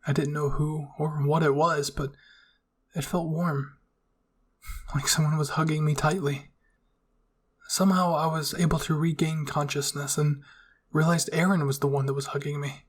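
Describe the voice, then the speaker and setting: Male narrator for ASMR fan fiction, higher-pitched, husky tone.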